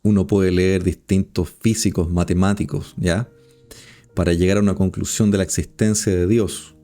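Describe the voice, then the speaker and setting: Low, mellow man musing aloud. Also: flat delivery. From Chile. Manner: measured, musing, with rhetorical questions and hums. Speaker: podcast host. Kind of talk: reflective monologue.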